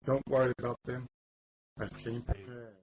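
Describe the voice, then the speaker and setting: A man's voice: medium pitch, flat delivery, normal speed, crowd noise, lightly acted, poor audio. A man playing an eccentric, wry older time traveller in a radio play, with a whimsical, theatrical delivery. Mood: sly, conspiratorial. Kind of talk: hobby radio play acting. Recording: amateur radio play under loud music.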